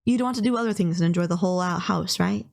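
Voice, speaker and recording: A woman's voice: on the low side, fast talker, creaky, darkish tone. A woman playing a polite, gushing young female kidnapper in an intimate anime-style roleplay, earnest, apologetic and rambling, obsessively in love. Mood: earnest, fussing.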